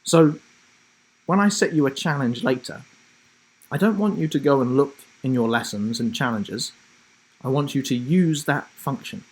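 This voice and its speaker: Guy with a bit of husky voice talking to kids on a livestream, reading his story, deliberate phrasing. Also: medium pitch, poor audio. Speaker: author reading own story on live broadcast. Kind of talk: friendly instructional chat and storybook reading for children.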